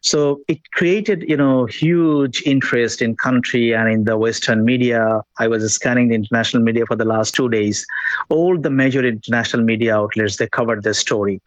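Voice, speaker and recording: A man's voice: medium pitch, normal speed, no room sound. Bangladeshi male journalist on a dull link. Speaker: journalist giving a live report. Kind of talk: live interview, unscripted.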